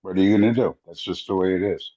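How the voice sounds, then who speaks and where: Low, dark-voiced older man, reflective. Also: steady tone, quick pace. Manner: reflective, easygoing, trailing off. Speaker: podcast guest. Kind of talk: casual conversation. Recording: dull lo-fi audio.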